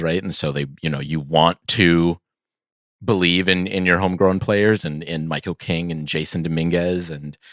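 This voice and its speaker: Male co-host, twenties, distinctly low-pitched, dry recording, loose and hesitant conversational baseball talk. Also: fairly bright tone, no echo. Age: 20s. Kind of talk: casual chat.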